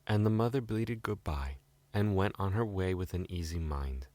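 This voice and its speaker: A British male storyteller reads a scripted fairy tale. His voice sits a little low. The sound is dry and close, like a bedtime storybook recording. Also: lively intonation, moderate pace, darkish tone, no room sound.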